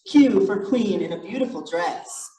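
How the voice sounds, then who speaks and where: A person reading picture books aloud to children in an animated, encouraging way, with chatty asides. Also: medium pitch, unhurried. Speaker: drag storytime reader. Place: echoey indoor room, library event. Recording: roomy, noisy, distant mic.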